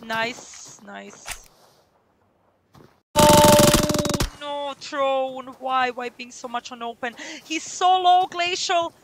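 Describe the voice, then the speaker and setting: Young Croatian-accented woman streamer, high voice, excitable play-by-play casting over loud in-game gunfire. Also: very slow, very noisy. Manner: excitable, rising to shouts at big plays. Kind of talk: live esports commentary. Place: small room at home, indoors.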